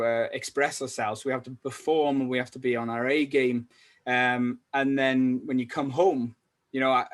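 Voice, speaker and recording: A man from Wales, a salesperson chatting as a podcast guest, rambling with many fillers and restarts. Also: medium pitch. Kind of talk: casual interview chat. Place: lives in Sweden.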